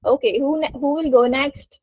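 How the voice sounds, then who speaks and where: A woman's voice: high-pitched, normal speed, warm tone, dry recording. A woman teaching a spoken English lesson to a group on an online call, encouraging and prompting participants. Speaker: English teacher hosting a webinar.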